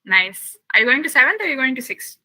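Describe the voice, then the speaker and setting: A woman's voice: sits high, big pitch swings, bright, forceful tone. A young woman from Chennai, chatting with children while giving a science camp lab tour over a video call. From Chennai, India. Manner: chatty, asks the children many quick questions.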